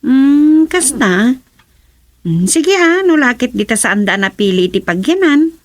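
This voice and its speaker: A female actor plays an inquisitive village woman. Her voice is high and she has an Ilocano accent. It is radio comedy drama acting with very little room sound. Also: sing-song, a little slow, no room sound.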